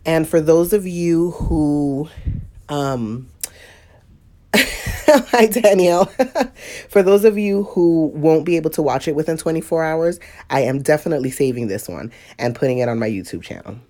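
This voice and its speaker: Woman, stuffy-nosed painting teacher, mellow tone, easygoing and chatty with viewers. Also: low voice, lively intonation, unhurried. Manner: chatty, laughs often, talks to viewers. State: stuffy nose, sniffly. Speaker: watercolor painting instructor. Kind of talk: casual live tutorial talk.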